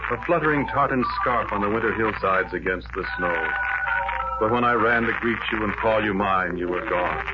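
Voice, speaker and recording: An actor playing a wistful, lovelorn man in a poetic, measured, dreamy 1940s radio drama monologue. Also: low voice. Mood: yearning. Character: wistful lovelorn man recalling lifelong search for love. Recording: noisy, muffled old radio recording.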